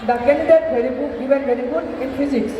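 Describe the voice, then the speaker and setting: An amateur stage performer playing a mock-courteous physics teacher with a deliberate, declamatory delivery. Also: high voice, a crowd in the background, natural voice. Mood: pedantic. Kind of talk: amateur stage play acting. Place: large hall stage. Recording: echoey noisy hall, distant mic.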